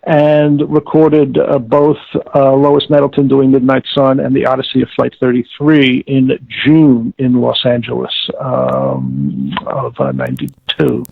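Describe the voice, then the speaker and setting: An older man being interviewed, recalling past work in a halting, deliberate, wordy way and often correcting himself. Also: mid-range voice, creaky, husky, no echo. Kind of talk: reminiscing interview. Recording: tinny narrowband call, like old radio.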